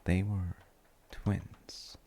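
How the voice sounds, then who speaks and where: Very deep, slow, gravelly dad played by a man. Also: level tone, a little hiss or hum, heavily acted. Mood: soothing, musing. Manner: unhurried, musing, halting with soft trailing phrases. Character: gentle, musing father comforting his tired grown child. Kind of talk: soft, rambling sleep-aid audio roleplay.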